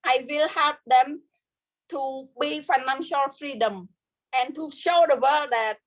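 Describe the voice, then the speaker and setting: A woman with a notably high voice and a Khmer accent speaks in careful, halting English. She is a native Khmer speaker, pausing often to search for words as she gives her personal testimony as a guest speaker. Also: rough recording. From Cambodia.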